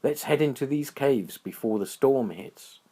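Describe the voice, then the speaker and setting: A man's voice: mid-range voice, expressive, measured pace, mellow, slightly rough, voice pushed up. A man voicing a friendly, brave and loyal young-hearted adventurer in a children's bedtime story, speaking earnestly in simple exclamations. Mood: urgent.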